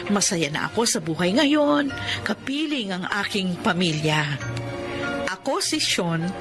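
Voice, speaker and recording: A woman's voice: mid-range voice, very animated, measured pace. An actress with a Filipino accent playing a grateful, devoted wife and stepmother in a radio drama. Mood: grateful, reflective. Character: Sean, grateful, devoted woman newly engaged, loving stepmother. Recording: loud dramatic music bed under voice.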